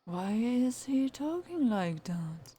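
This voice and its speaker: Lively woman doing casual gameplay commentary. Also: fairly high pitch, takes it slowly, slightly rough. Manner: informal, reacting aloud in short bursts. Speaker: game streamer. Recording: faint game music behind.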